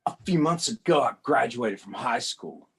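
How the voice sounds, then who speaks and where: A man's voice: mid-range voice, expressive, normal speed, background noise, close to an everyday voice. A man reading a comic aloud as a young male rookie cop, narrating his troubles in a plain conversational first-person way. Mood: wry.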